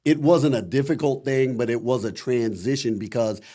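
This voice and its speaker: Man, middle-aged, Midwestern accent, deep, warm dark tone, reflective casual interview talk. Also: fairly even intonation, moderate pace. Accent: Midwestern American. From Akron, Ohio, USA. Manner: rambling, many "you know" fillers, reflective. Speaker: voice actor guest.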